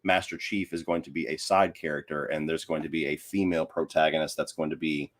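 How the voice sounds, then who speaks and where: A man's voice: rumbling low, fairly even intonation, a little fast. A man speaking as a podcast guest, giving his opinions in casual conversation.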